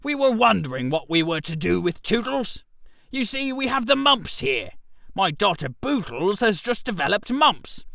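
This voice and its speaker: A man's voice: sits high, vocal fry, pushed and bright, no room sound, full character voice. A man voicing a genial, oblivious older father with a breezy, chatty manner in a comic radio story.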